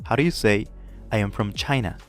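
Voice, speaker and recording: A man teaching a Spanish lesson, modeling phrases clearly and slowly for the listener to repeat. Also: lowish voice, faint music behind. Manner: clear, slow model pronunciation with pauses to repeat. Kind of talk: language lesson with drills.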